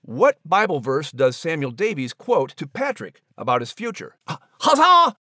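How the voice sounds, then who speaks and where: A man narrating a storybook and hosting a friendly quiz, with an expressive, lively delivery. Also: mid-range voice, normal speed, no room sound, studio quality. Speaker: narrator and host.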